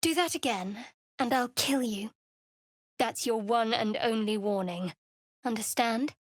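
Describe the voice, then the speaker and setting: A woman's voice: on the high side, a little slow, no echo. A woman playing a guarded, sardonic young female cleric in a video game, with a dry, aloof, clipped delivery. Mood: angry, warning. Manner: dry, aloof, clipped sarcasm with earnest undertones. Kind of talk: video game character voice acting.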